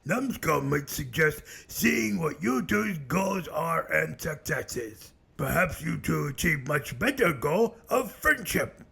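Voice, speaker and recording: A man's voice: fairly high pitch, sing-song, takes it slowly, creaky, hardly any pauses, sharp tone, slightly rough, full character voice. Odd cartoon referee creature voiced by a man. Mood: mediating. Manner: refers to itself in third person. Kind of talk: animated web cartoon dialogue.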